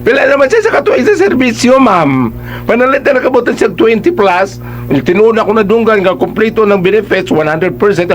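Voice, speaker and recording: A man's voice: high voice, big pitch swings, brisk, slight background noise. A man with a Cebuano accent giving conversational, emphatic advice as a radio host.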